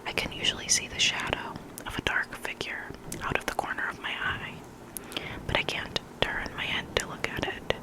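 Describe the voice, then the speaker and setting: Hushed ASMR whisperer reading creepy stories. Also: normal speed, rough recording. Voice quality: breathy unvoiced whisper.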